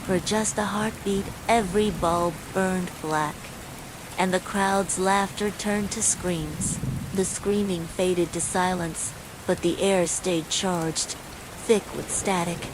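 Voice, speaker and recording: A woman reading a scripted bedtime horror story in a measured, suspenseful way, as a storyteller. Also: lowish voice. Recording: steady loud rain noise throughout. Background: falling rain.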